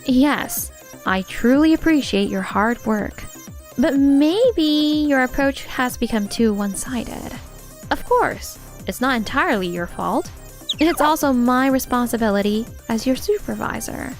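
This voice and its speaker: A poised young lady boss played by a woman with a very high voice. She is composed, earnest and supportive, frank but kind, in a manga romance dub. Soft music runs behind her. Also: expressive, a little slow. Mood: encouraging. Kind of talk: anime-style dubbed dialogue.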